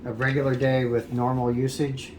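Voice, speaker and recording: A man's voice: mid-range voice, monotone, moderate pace. American man explaining, in a noisy echoey room. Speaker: amateur host of a radio repair video. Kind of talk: casual how-to explanation. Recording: echoey room, loud background noise.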